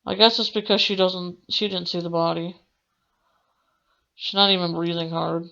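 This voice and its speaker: A woman's voice: lowish voice, fairly even intonation, normal speed, gravelly, bright, forceful tone, highs cut off. A woman gamer reading visual novel narration aloud, with casual asides of her own. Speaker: gamer doing a let's play. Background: game music.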